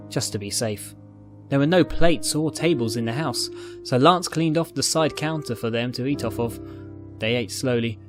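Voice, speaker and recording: A man reading fiction aloud as narrator, in scripted dramatic storytelling style. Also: medium pitch. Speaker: narrator, fan fiction reader.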